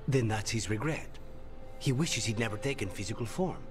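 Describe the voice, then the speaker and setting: A man's voice: mid-range voice, expressive, moderate pace, over music, lightly acted. A man voicing a wry, serious companion in a fantasy video game. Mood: grave.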